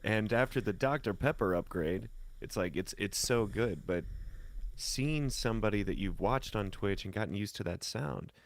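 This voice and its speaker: A man from the United States talking casually as a guest, telling anecdotes in a laid-back, colloquial way with pauses mid-thought. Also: lowish voice, expressive, creaky. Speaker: guest musician and voice talent. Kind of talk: casual chat, personal anecdotes.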